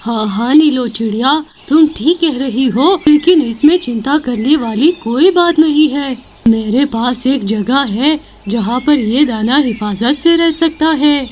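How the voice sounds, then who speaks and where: A woman's voice: sits high, a little slow, hardly any pauses, a little mellow, a little hiss or hum. A woman playing a helpful, reassuring male pigeon in a cartoon story.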